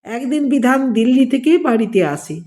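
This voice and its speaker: A woman reads a scripted family drama in a measured, deliberate storytelling manner. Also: high-pitched, animated, a little mellow. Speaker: storyteller reading own written story.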